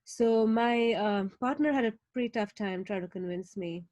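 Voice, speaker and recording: A woman with an Indian accent recounting a role play on a video call, relaxed and easygoing. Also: a little high, normal speed, no echo, lo-fi sound. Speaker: workshop participant. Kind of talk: casual recap on a video call.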